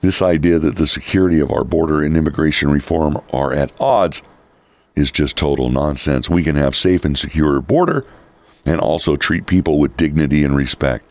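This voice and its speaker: Raspy, very deep-voiced radio host man. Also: moderate pace, no echo. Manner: opinionated, reads quotes then rebuts them. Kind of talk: political talk radio commentary. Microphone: studio microphone.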